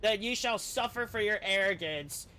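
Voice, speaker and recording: A man's voice: sits high, normal speed, pushed and bright, slight background noise, low-quality recording. A man voicing a haughty, spiteful noble's servant from a fantasy game, speaking with pompous scorn. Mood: scornful, threatening.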